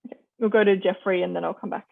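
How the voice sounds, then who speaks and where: A woman moderating an online panel discussion, with a hesitant, halting delivery. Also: medium pitch, fairly even intonation, creaky. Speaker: forum moderator. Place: online video call. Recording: muffled, band-limited video call audio.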